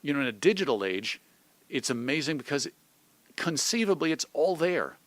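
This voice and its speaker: A man's voice: a little high, big pitch swings, moderate pace, no echo. A middle-aged American man talking casually and reflectively as an interview guest, thinking aloud and illustrating his points with examples. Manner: discursive, thoughtful, illustrates points with examples and imagined quotes. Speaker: interview guest, old-time radio host.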